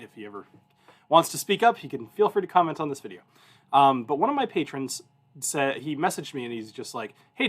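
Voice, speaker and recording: A man's voice: medium pitch, fairly bright tone. A man in his thirties hosting a whiskey review, chatting informally with viewers, rambling and often interrupting himself as he goes. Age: 30s. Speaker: whiskey review show host. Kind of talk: casual chat and anecdotes to a livestream audience.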